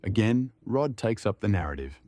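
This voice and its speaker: A man's voice: lowish voice, very animated, normal speed, dark tone. A man reading scripted narration as the narrator of a report.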